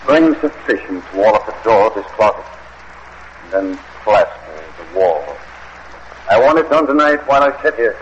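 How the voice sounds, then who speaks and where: An actor playing a cruel, vengeful husband in an old radio horror drama, with theatrical, mocking, cackling delivery. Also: male voice, on the low side, animated, moderate pace, some chatter behind, lightly acted. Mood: menacing command. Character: cruel gloating husband, mad with jealous vengeance. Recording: muffled, hissy old radio drama recording.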